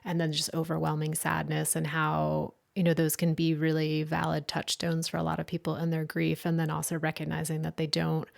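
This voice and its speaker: Female podcast host, low register with vocal fry, reflective and conversational. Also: monotone, a little fast, hardly any pauses. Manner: thoughtful, frequent restarts and "like". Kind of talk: conversational interview.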